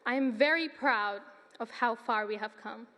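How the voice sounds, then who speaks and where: A nineteen-year-old woman from Sudan, giving an earnest, measured student speech at a graduation ceremony. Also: a little high, bright, forceful tone. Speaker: student commencement speaker. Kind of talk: prepared speech. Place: large hall. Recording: long reverb of a large hall.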